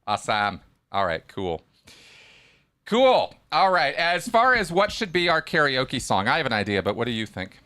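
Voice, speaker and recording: A man's voice: mid-range voice, normal speed. A man hosting a live call-in podcast, chatting casually and rambling with restarts. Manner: relaxed, rambling, many restarts. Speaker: podcast host.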